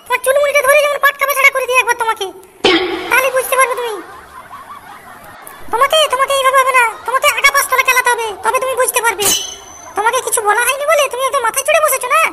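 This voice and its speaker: A man voicing a furious, bullying cartoon wife who scolds and threatens, with a West Bengal accent and a pitched-up voice effect. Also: fairly even intonation, very fast, background noise. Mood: angry, threatening. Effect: pitched-up voice changer. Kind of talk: amateur comic cartoon dubbing.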